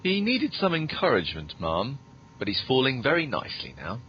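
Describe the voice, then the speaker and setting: A man playing a formal, deferential, deadpan butler with a shady streak in a scripted comedy podcast. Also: a little fast. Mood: calm, dry. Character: deadpan, dutiful, quietly shady male butler. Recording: muffled, noisy low-quality podcast audio.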